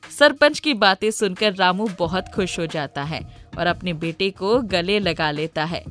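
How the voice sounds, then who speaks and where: A woman's voice: fairly low pitch, lively intonation, measured pace, fairly bright tone. A woman reading a scripted storybook narration as the storyteller. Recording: music under the voice. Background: background music.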